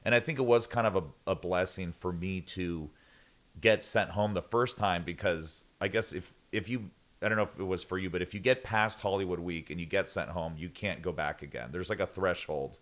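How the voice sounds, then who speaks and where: A man's voice: low voice, fairly quick, fairly bright tone. A man chatting casually as a guest singer, telling rambling stories that he keeps interrupting himself. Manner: rambling, self-interrupting storyteller.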